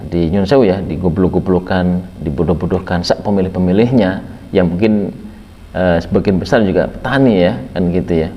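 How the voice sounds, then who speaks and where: A man with a very deep, low voice rambles through reaction commentary, filling pauses and repeating words of agreement. The sound is dull and muffled and carries a long reverb, as if recorded in an echoing room.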